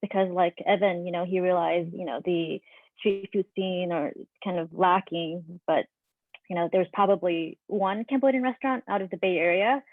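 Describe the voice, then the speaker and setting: A woman restaurant owner and chef sharing her story on a panel over a video call, earnest and reflective with frequent pauses. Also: lowish voice, steady tone, dry recording. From United States. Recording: dry, phone-like video call audio.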